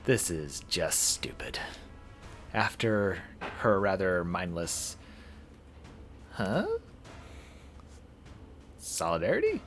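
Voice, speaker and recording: A man with a low voice reads a visual novel aloud on a stream. He goes very slowly and haltingly, dramatising the text and correcting himself, with lively swings in pitch. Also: faint music behind. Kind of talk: reading a visual novel aloud with ad-lib asides.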